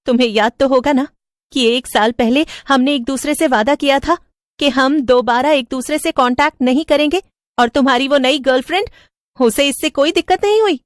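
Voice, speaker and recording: A woman voicing an anxious, lonely young receptionist in an animated story, expressive, questioning and hesitant. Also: high voice, moderate pace, no room sound, studio quality, voice pushed up, close to an everyday voice. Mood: wary, hurt. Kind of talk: dramatic cartoon story voice acting.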